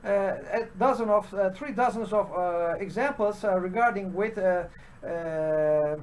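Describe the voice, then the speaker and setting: Male channel host, Turkish accent, fairly high voice, halting unscripted delivery full of "uh" pauses. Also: slight background noise. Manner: halting, heavy with "uh" fillers and restarts. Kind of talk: unscripted solo commentary.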